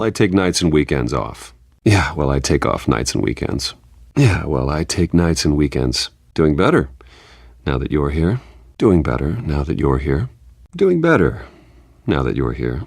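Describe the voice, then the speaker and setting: A smooth rich playboy for a video game, super deep voice, flirty n relaxed, dry studio sound. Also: male voice, no echo, full character voice. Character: suave, charming billionaire socialite man, playfully informal. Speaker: game voice actor. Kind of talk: polished game dialogue acting.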